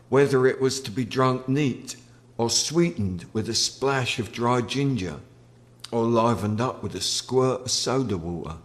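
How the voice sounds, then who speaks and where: A man reading literary fiction aloud as its author, with theatrical, deliberate phrasing. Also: medium pitch. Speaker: author reading from own novel. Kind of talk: literary audiobook-style narration.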